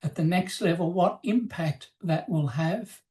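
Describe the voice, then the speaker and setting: A woman presenting in a webinar, explaining slides in a measured, formal, semi-scripted way. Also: on the low side, mellow.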